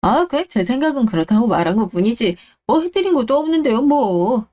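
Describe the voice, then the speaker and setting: A woman's voice: a little high, very animated, a little fast, dark tone. A woman voicing a scheming middle-aged man in a radio drama, muttering to himself and acting falsely friendly. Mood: fake friendly. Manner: smug, muttering to himself, then fake-friendly.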